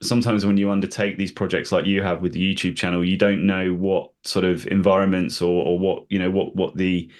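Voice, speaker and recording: Give a man with a low voice the host's seat on a podcast. He asks long, winding questions that stop and restart as he feels his way toward the point. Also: flat delivery. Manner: rambling, halting questions full of restarts. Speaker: podcast host. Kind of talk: casual interview conversation.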